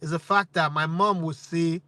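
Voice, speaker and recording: A man in his forties from Nigeria with a Nigerian accent, hosting his sports channel with lively rhetorical questions and bits of Pidgin. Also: fairly high pitch, moderate pace, no room sound. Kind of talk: opinionated talk to camera.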